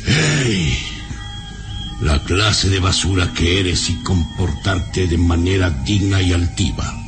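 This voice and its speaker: Haughty baron, scornful father, slow commanding delivery, theatrical radio drama, swooping intonation. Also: low voice, animated, music in the background. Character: imperious, scornful baron, father of an adult daughter. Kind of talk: old radio serial acting.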